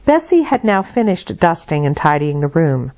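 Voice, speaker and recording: A woman narrating literary fiction as an audiobook, with measured, expressive delivery and deliberate phrasing. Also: low voice. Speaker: audiobook reader.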